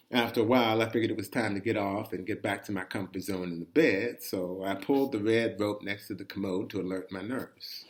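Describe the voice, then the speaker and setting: A man reading a first-person chronicle aloud as a dramatic storyteller, conversational and expressive, with deliberate emphasis on his phrasing. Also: on the low side.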